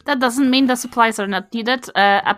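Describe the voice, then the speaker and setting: A woman's voice: fairly high pitch, moderate pace, fairly bright tone, soft background music. A woman chatting casually and unscripted as a player in a tabletop game. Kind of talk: casual unscripted group chat.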